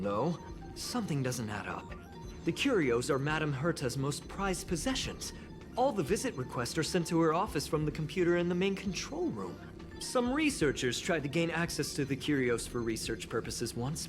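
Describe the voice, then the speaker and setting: An actor playing an excitable young space station investigator who puzzles aloud in scripted game dialogue. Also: mid-range voice, normal speed, lightly acted. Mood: puzzled, uneasy. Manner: animated, pondering aloud. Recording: background music under dialogue.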